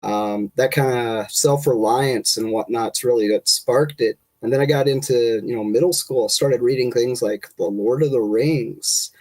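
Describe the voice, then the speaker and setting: A man's voice: mid-range voice, measured pace, hardly any pauses, low-quality recording. A man with a stuffy, congested voice, telling his story as a podcast guest. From Kentucky. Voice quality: nasal from congestion, relaxed rambling delivery. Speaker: guest, state party chair. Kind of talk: casual podcast interview.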